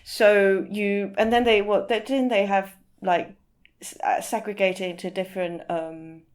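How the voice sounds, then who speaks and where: A woman's voice: medium pitch, fairly even intonation, measured pace. Female interview guest, mid-range voice, conversational, hesitant phrasing with frequent self-corrections. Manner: hesitant, searching for words, often rephrasing. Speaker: podcast guest, literary translator. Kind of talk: casual interview discussion.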